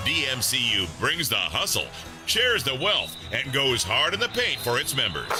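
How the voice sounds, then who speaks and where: A man's voice: mid-range voice, sharp tone. A man reading a scripted radio advertisement as announcer. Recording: prominent music behind the voice.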